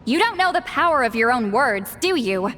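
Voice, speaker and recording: A woman's voice: high-pitched, big pitch swings, normal speed, bright, forceful tone, heavily acted. An actress playing a blunt, aloof shaman woman in a video game, curt and dry in manner. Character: ancient but youthful-sounding shaman woman, blunt, aloof, prickly. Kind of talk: English video game voice acting. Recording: faint background music under the voice.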